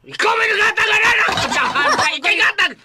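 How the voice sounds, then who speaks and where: A man playing an excitable, aggrieved husband and luckless bettor in a comic radio drama, with a Cebuano accent and whiny, exclamatory complaints. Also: extremely high pitch, brisk, very noisy. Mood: exasperated, accusing.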